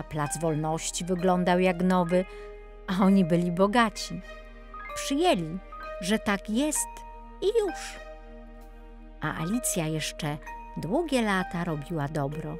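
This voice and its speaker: A woman reading a scripted children's story in an expressive, playful storytelling manner. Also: on the low side. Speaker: storyteller narrator.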